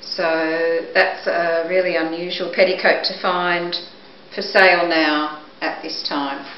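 Slow-talking middle-aged woman in echoey room. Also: on the low side, little pitch movement. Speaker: auction presenter. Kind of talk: product showcase, descriptive talk. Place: indoors, living or dining room at home. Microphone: camera microphone a few steps away. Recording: echoey room, dull muffled sound, background hiss.

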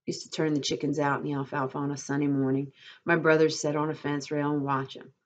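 A woman's voice: fairly low pitch, normal speed, a little mellow, dull audio, slight background noise, close to an everyday voice. A woman voicing a lonely, bitter, needling male stable hand in a story reading, using rustic dialect with a pressing, brooding delivery. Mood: wistful.

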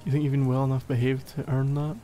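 A man's voice: medium pitch, level tone, fast talker, dark tone, soft background music, natural voice. A man playing a needy, playfully exasperated gamer boyfriend in a casual, intimate ASMR roleplay, teasing as he talks. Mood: teasing, resigned. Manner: teasing, mock-exasperated, conversational.

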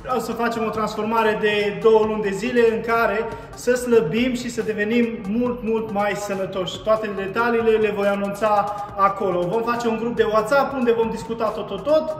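A man's voice: high voice, fairly bright tone. A young man vlogging casually to camera in a gym as a fitness trainer, upbeat and persuasive, talking straight to his viewers. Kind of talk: casual vlog talk to camera. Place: large gym indoors. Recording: echoey gym, voice from a distance.

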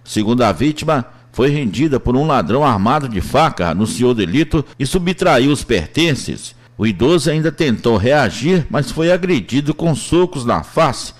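A man's voice: mid-range voice, lively intonation, moderate pace, few pauses. A man with a Brazilian accent reading scripted police news reports as a reporter.

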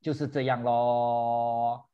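A man's voice: medium pitch, little pitch movement, takes it slowly, warm tone, clean tone, dull audio. A man hosting a virtual tour for seniors, chatting animatedly and switching between English and Mandarin. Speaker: host.

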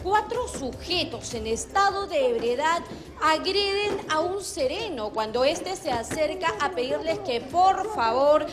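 Young Peruvian woman, high voice, brisk formal field reporter, faint music under. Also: animated, crowd noise. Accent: Peruvian Spanish. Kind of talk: live news reporting. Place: outdoors on a city street. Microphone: handheld mic.

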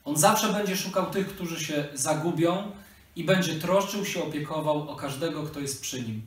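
A man in his thirties gives children a religious explanation. His voice is a bit high, heard slightly off-mic with very strong room echo. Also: normal speed, far from the mic. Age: 30s. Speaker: children's lesson presenter. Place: living room, indoors.

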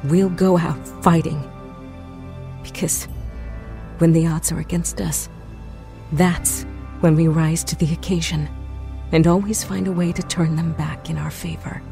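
A woman playing a solemn survivor of a doomed spaceship, delivering a measured, grave sci-fi monologue. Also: deep voice, steady tone, creaky, warm tone, soft background music. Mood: solemn resolve.

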